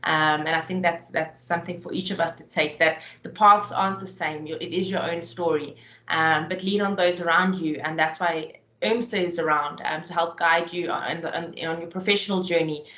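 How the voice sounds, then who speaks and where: A woman's voice: lowish voice, fairly even intonation, normal speed, fairly bright tone, echoey room, rough recording. A woman moderating a webinar, giving closing remarks and thanks with many "um" fillers.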